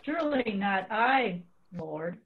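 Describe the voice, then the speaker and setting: A woman's voice: medium pitch, expressive, takes it slowly. A woman giving a dramatic reading of scripture as a church reader, recorded with a thin phone-like sound.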